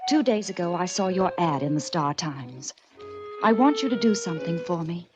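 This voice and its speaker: A woman's voice: fairly low pitch, expressive, moderate pace, music in the background, close to an everyday voice. An actress playing a guarded, anxious, well-spoken woman in her twenties, earnest and hesitant, in a 1940s radio drama. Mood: grave, pleading. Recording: dull old 1940s radio recording.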